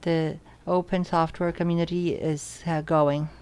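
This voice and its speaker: Low-voiced woman interpreting live in halting phrases. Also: little pitch movement, a little mellow, smooth. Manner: halting, phrase-by-phrase delivery with self-corrections. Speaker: simultaneous interpreter. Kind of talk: live interpretation of audience questions at an academic symposium.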